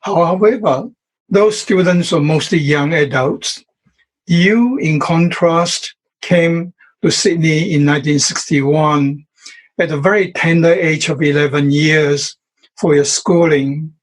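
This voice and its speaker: A male professor reads his prepared questions in a husky, somewhat high voice. He speaks very slowly and formally, like a set interview script. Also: rough recording. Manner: measured, formal delivery.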